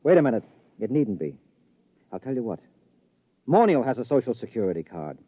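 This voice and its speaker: A man's voice: mid-range voice, sing-song, fairly quick, slightly rough, no room sound. An actor playing a wry, conversational man who narrates and schemes in a 1950s radio drama. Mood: wry, reassuring. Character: wry, plausible man narrating his artist friend's scheme. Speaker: narrator and character in a radio play. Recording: muffled vintage radio recording with music.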